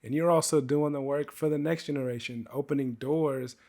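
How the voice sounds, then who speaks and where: Earnest podcast host, lots of "like". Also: male voice, a little high, steady tone, vocal fry, darkish tone. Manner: earnest, filler-heavy, quick to affirm and laugh. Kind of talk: casual interview chat.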